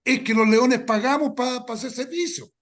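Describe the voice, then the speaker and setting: A man co-leading a workshop, explaining informally in a hesitant, halting way. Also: extremely high pitch. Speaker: workshop co-leader.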